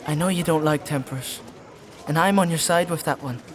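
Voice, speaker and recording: High-voiced, grumbling squire played by a man. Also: brisk, a crowd in the background, lightly acted. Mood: curious. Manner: informal, teasing, complaining. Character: young male squire, grumbling, good-natured, easily flustered. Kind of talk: dark fantasy audio drama acting.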